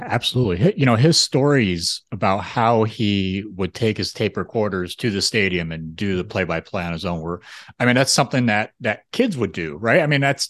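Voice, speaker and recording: Low, dry-sounding male podcast co-host. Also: dry recording. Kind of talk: casual chat.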